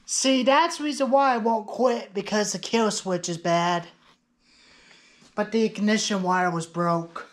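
A woman's voice: medium pitch, unhurried, a little hiss or hum. A woman giving a casual, hands-on show-and-tell as a small engine hobbyist, speaking in a garage workshop a few feet from the camera. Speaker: small engine hobbyist presenting own chainsaws. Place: cluttered metal-walled garage workshop.

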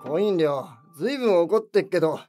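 A boozy male sake-bottle spirit , acted by a man, gruff and teasing. His slangy banter swings up and down in pitch. It is a fantasy comedy audio drama with faint music behind. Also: expressive, quick pace. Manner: rough, slangy, drawling banter.

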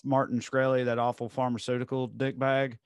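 A man in his thirties hosting a podcast, chatting in an animated, questioning way over a video call with a boom mic. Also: brisk, clean tone, dry recording, clean studio sound. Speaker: podcast host. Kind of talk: casual chat. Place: home studio with blue backdrop.

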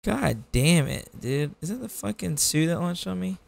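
Gravelly man muttering and swearing. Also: fairly high pitch, animated, normal speed. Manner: muttering, swearing under his breath. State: under the weather, scratchy voice. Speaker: gamer streamer. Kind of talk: casual gameplay commentary. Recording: noisy background.

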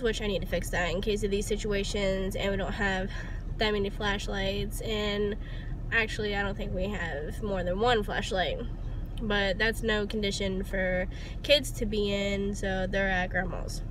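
A woman in her twenties with a congested, sick-sounding voice, talking casually to her phone as in a personal vlog. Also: medium pitch. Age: 20s. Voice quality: stuffed-up, congested. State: sick with a cold. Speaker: vlogger. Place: inside a parked car on a rainy day. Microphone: phone at arm's length. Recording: noisy parked car, vehicle ambience.

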